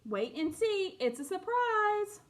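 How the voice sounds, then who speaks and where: A panda baker from a picture book, as a woman reads it, keeping a surprise. The voice is high and lilting, teasing each line out. The delivery is slow and playful. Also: big pitch swings, heavily acted. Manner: sing-song, teasing. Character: patient panda baker, secretive.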